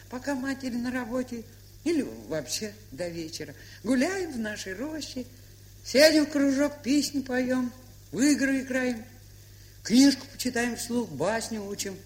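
A woman's voice: a little high, expressive, close to an everyday voice. An older woman playing a kindly, chatty village aunt in an audio play, telling stories in a plain, folksy way. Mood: warm reminiscing. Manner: folksy, unhurried storytelling with fond scolding. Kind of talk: audio play monologue and dialogue. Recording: hissy, humming 1970s record.

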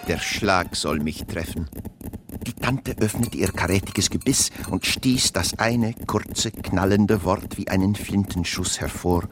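An actor playing a long-suffering nephew worn old, narrating a literary radio play in a deadpan, mock-tragic way. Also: male voice, deep voice, measured pace, background noise. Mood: mock despair. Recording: loud music behind the voice.

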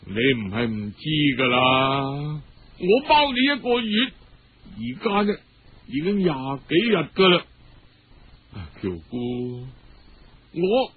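A man's voice: fairly high pitch, huge pitch swings, slow, long pauses, breathy edge, pitched below the performer's own voice, full character voice. A man playing a penniless, lovesick young man with a Cantonese accent, plaintive and faltering, in a told story. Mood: dejected, aggrieved. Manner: plaintive, sighing, falters over words. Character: hard-up, lovesick young man, sighing and aggrieved. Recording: dull lo-fi radio-style recording.

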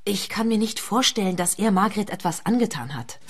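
Actress as candid female confidante, gossipy, matter-of-fact, middling pitch, radio play dialogue. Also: expressive, a little fast, close to an everyday voice. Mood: frank, gossipy. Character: gossipy, candid best friend of a murdered woman.

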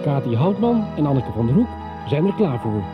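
A man's voice: a little high, sing-song, fairly quick. A man narrating a scripted recap as the announcer of a radio soap. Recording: narrow, muffled radio recording with music. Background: music bed.

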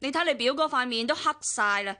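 A gossipy Hong Kong Cantonese older sister in a radio drama, sighing and complaining. Her high, bright voice moves up and down lively. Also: quick pace. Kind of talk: 1990s radio drama acting.

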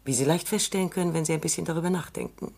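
A cool, exacting female scientist in a radio play. Her voice is very low for a woman, measured and precise as she lays out her arguments. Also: gravelly, a little mellow, breathy edge, heavily acted. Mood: lecturing. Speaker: robot psychologist Dr. Calvin. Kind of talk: radio drama acting.

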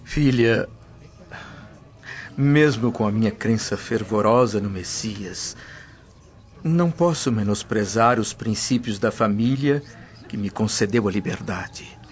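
A man's voice: on the low side, slow pace. An actor voicing a devout, fatherly freed man in a radio drama, speaking earnestly. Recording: slightly muffled with background music.

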